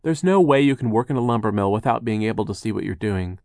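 A man's voice: on the low side, animated, fast talker, creaky, darkish tone, dry recording, studio quality. A man voicing a kindly, timid mill partner in an audiobook, polite and anxious to please. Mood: concerned, helpful.

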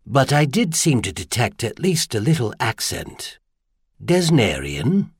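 A man voicing a gracious, charming, poised courtesan, softly spoken, in audiobook dialogue. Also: medium pitch, huge pitch swings, measured pace, breathy edge, dry recording, studio quality, exaggerated voice.

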